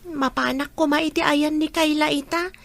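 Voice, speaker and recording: A woman's voice: high-pitched, steady tone, normal speed, vocal fry. A woman playing a meek young schoolgirl in a radio drama, speaking with an Ilocano accent in a plaintive, childlike way. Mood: worried, subdued. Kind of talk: Filipino radio drama acting.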